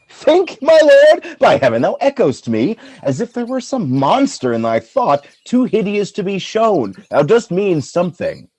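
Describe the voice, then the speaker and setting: Male actor as jealous noble commander, theatrical, huge pitch swings, tender to forceful, Shakespearean audio drama. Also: sing-song, a little slow, no room sound, heavily acted. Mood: suspicious, agitated. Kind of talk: Shakespearean radio play acting.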